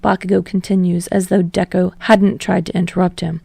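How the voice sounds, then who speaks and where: A woman's voice: fairly low pitch, flat delivery, normal speed, creaky, darkish tone, slightly rough, dry recording. A woman reading a story aloud as a scripted audiobook narration. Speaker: fan fiction audiobook narrator.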